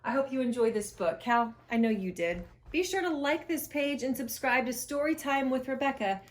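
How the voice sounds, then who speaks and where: Animated, high-voiced woman reading a storybook. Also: moderate pace. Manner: animated, acts out dialogue for young children. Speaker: children's storytime host and reader. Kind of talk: expressive read-aloud of a children's picture book.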